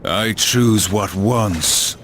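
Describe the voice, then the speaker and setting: A man's voice: fairly low pitch, drawn-out words, a little hiss or hum. An actor playing a stoic, grim horseman of the apocalypse in a video game, speaking solemnly and tersely. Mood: grim resolve. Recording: loud music behind the voice. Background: music.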